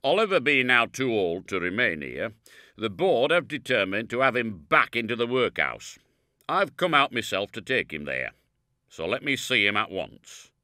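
A swelling, self-important beadle of a bygone parish, as a male reader puts him on. His voice is bright and ringing, lurching up and down in grand swoops. Every phrase is delivered with lordly, overbearing majesty, storybook style. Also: medium pitch, big pitch swings, normal speed, no echo, clean studio sound, voice pushed up. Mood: pompous.

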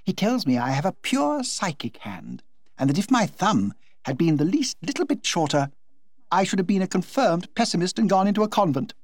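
A man voicing a vivacious forty-year-old society hostess in a high, gushing, theatrical tone. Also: very animated, normal speed, dry recording, clean studio sound, exaggerated voice.

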